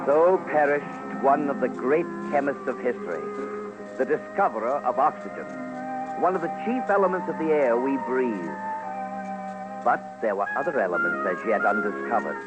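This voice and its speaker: Dramatic, animated male radio narrator over music. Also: mid-range voice, low-quality recording. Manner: formal, theatrical, emphatic. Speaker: commentator, narrator.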